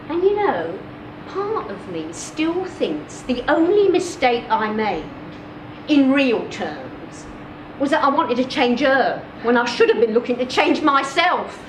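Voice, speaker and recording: A woman performs a first-person story in a high voice, expressive and deliberate, leaving measured pauses between phrases. She is recorded at a distance in an echoing room, with clear background noise. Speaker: reader of a short story. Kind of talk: dramatic first-person story reading, live literary performance.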